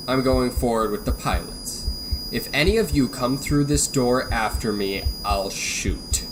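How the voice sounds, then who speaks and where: An actor as a cold-blooded male hijacker in a retro radio thriller. He speaks slowly in threats, with big swings of pitch, under a loud hum. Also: natural voice. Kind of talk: 1930s radio thriller acting. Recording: loud steady hum and noise.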